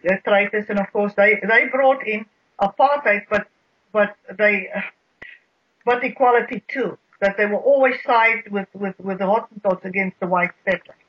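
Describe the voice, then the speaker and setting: A South African guest with an Afrikaans accent, explaining history in a halting way with many repeated words. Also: medium pitch. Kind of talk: radio interview, explaining history. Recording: dull lo-fi radio sound.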